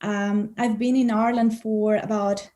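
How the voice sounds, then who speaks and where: A woman's voice: mid-range voice, flat delivery, measured pace, dark tone. A woman from Venezuela, a customer support manager, talking in a friendly, easygoing way in an interview. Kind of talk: casual interview talk.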